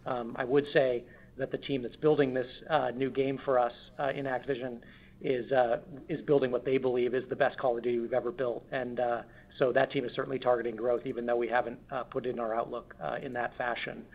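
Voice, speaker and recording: A man's voice: mid-range voice, little pitch movement, fairly quick. A male finance executive with a mid-range voice gives business commentary on an earnings call. He picks his corporate wording carefully, with some hesitation, over dull lo-fi audio.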